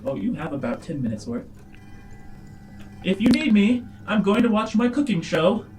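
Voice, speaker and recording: A man's voice: high voice, very animated, normal speed, darkish tone, faint music behind, voice pushed up. A man voicing a theatrical, taunting villain in a tabletop game, with a dramatic, teasing, sing-song delivery. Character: theatrical, taunting villain with a playful flair for games.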